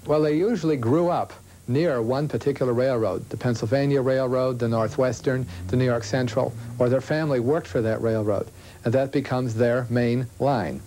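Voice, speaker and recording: A man's voice: mid-range voice, normal speed, mellow, a little hiss or hum. An American man, a shopkeeper, explaining things in an interview. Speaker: bookstore owner being interviewed.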